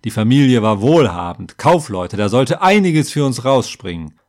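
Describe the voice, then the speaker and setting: A man's voice: mid-range voice, very animated, moderate pace, darkish tone, lightly acted. A man voicing a muscular, womanizing elite warrior in a fantasy story, talking in a blunt, offhand, self-assured way.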